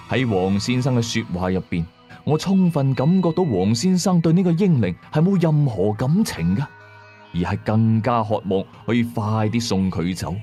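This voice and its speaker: A man's voice: mid-range voice, dark tone. A man with a Hong Kong Cantonese accent narrating a first-person horror story as a storyteller.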